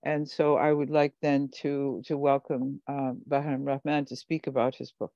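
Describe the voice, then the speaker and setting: Online workshop host, woman, hesitant and halting delivery, muffled dry call audio. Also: extremely low pitch, level tone, dark tone, no room sound. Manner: hesitant, many fillers and restarts. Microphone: video call.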